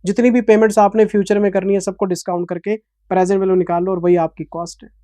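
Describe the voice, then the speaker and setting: A man's voice: high-pitched, little pitch movement, fast, mellow, dry recording. A man in his forties, an accounting teacher giving a revision lecture in a passionate, scolding then explanatory manner, with an Indian English accent. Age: 40s.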